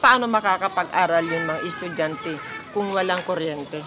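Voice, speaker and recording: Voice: medium pitch, moderate pace, a crowd in the background. A Filipino resident explaining community grievances in an interview. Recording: noisy outdoor field recording.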